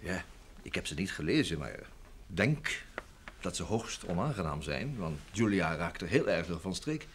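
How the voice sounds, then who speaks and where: An actor playing an affable, evasive showbusiness manager in an old Dutch radio play, chatty and hesitant. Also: male voice, low-pitched, big pitch swings, moderate pace, a little hiss or hum. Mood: evasive, uneasy. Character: evasive, affable manager of a film star, grown man.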